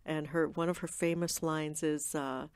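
A woman, a podcast guest, telling a warm, laughing family anecdote in casual chat. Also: low voice, steady tone, unhurried, clean tone, no echo, clean studio sound. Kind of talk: casual storytelling.

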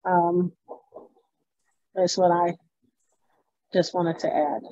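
A woman's voice: on the low side, level tone, very slow, dark tone, no echo. A young woman in a church group, thoughtfully adding a scripture reflection on a video call. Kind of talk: casual devotional sharing. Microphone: video call, phone camera. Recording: dry, slightly muffled call audio.

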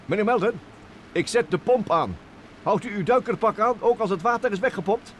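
A man's voice: high-pitched, fairly quick, fairly bright tone, slight background noise. An actor in a vintage radio play, playing a nervous, stammering male professor who pilots a small submarine. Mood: anxious. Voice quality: stammering, hesitant.

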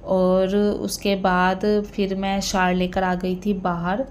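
A woman in her thirties narrating her day at home in a relaxed, chatty voice-over, with many fillers and tag questions. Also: mid-range voice, slow, slight background noise. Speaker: vlogger.

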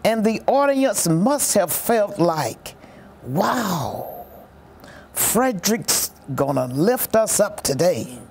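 A commentator explaining a historic speech in a reflective, expressive storytelling way. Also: medium pitch, takes it slowly, vocal fry, slight background noise. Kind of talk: reflective documentary-style commentary.

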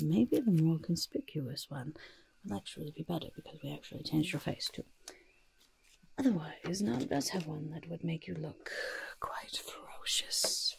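Woman playing a creaky, whispering, mischievous goblin. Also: sits low, full character voice. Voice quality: breathy whisper mixed with creaky soft voice. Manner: refers to self in third person, fussy, repeats words. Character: quirky, mischievous goblin master of disguise, friendly. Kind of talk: soft-spoken ASMR roleplay, often whispering. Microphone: close ASMR microphone. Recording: close-up with audible hiss and clicks.

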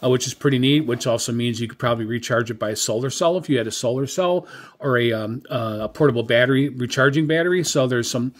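A man's voice: mid-range voice, quick pace. A middle-aged or older man giving a casual product review as a hobbyist, in a hesitant, rambling, conversational way. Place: indoor room with radio equipment.

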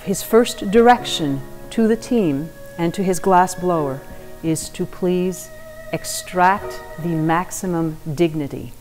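A middle-aged woman, a partner at an auction house, presenting artworks to camera in a measured, gentle, descriptive way. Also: fairly low pitch, soft background music.

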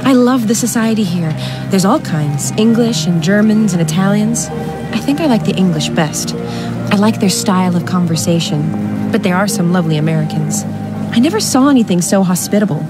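A young actress as a headstrong society girl in a period radio play, chatty, teasing and sure of herself. Her voice sits at an ordinary female pitch, with music clearly behind it. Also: animated, normal speed, close to an everyday voice. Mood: cheerful, chatty. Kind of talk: period radio drama acting.

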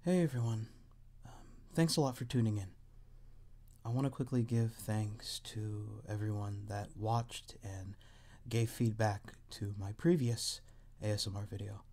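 A soft-spoken man, an audio roleplay creator, casually and a little hesitantly addressing his listeners. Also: on the low side, fairly even intonation, measured pace.

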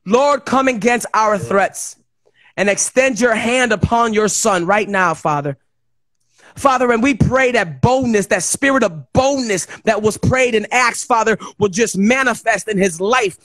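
A man's voice: high-pitched, measured pace, fairly bright tone, dry recording. A man hosting, speaking in fervent, emphatic preacher style as he prays and exhorts over a guest. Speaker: host praying over a guest. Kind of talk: fervent impromptu prayer and exhortation.

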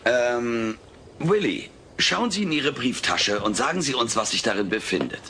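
Guy doing a cheeky alien magician, super animated showman talk, radio play off a noisy old cassette. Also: mid-range voice, normal speed, close to an everyday voice. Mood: showy, boastful. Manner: showy, pompous showman patter. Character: cheeky furry alien, boastful amateur stage magician. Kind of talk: comedic German radio play acting.

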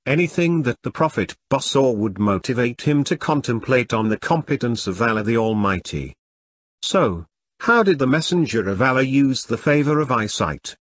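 A man delivering a Friday sermon from a prepared English translation in a measured, formal manner. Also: mid-range voice, very animated, no room sound. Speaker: preacher.

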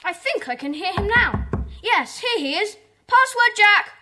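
Young schoolboy, high, bright, bossy, earnest, children's audio drama. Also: female voice, sing-song, heavily acted. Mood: brisk, bossy. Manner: brisk, commanding.

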